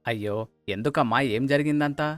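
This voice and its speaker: A man's voice: medium pitch, a little fast, fairly bright tone, dry recording, faint music behind, lightly acted. A man voicing a middle-aged father who indulges his favourite, emphatic and paternal, in a cartoon moral story. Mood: concerned.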